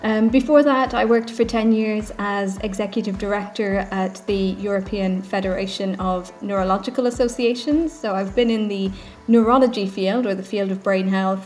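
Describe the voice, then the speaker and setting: An Irish woman speaks as a charity head in a recorded interview. She explains in an earnest, measured way, and her pitch sits in the middle of the range. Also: darkish tone. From Ireland. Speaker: charity chief executive, guest. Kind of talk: video interview.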